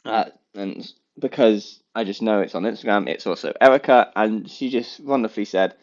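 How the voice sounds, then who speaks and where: A man's voice: fairly low pitch, normal speed, lo-fi sound. A man hosting his own podcast alone, rambling and correcting himself in a goofy, playful way, with a blocked, sniffly nose. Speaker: podcast host. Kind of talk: casual solo podcast rambling.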